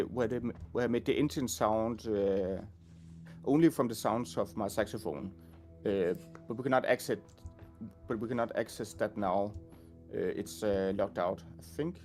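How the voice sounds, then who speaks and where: A man working as a game audio designer, talking casually and haltingly while showing a game. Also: mid-range voice, a little mellow. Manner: hesitant, many fillers and restarts.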